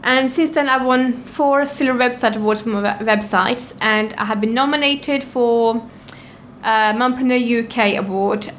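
A woman from Norway speaks as a podcast guest in a fairly high voice. She is plain-spoken and encouraging, with clear noise in the background. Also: a bit bright. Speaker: podcast guest, business owner. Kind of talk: conversational interview answers.

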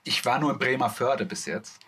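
A man's voice: medium pitch, moderate pace, bright, forceful tone, slightly rough. A man co-hosting a casual podcast, chatty and explaining things. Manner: chatty, explaining, laughs easily. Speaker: podcast co-host. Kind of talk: casual chat.

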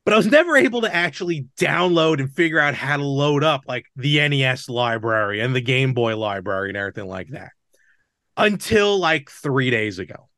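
A man's voice: mid-range voice, lively intonation, a little fast, pushed and bright, dry recording. Man hosting a casual podcast, dry sound. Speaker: podcast host. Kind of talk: casual podcast chat about retro games.

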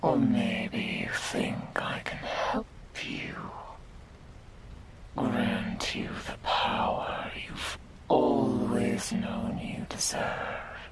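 Woman acting a slow, whispering, sinister demon girl. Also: a bass voice, animated, full character voice. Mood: mocking, menacing. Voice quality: breathy whisper, mostly unvoiced. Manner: slow, mocking, condescending, theatrical delivery. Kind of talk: whispered ASMR roleplay. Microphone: close binaural microphone.

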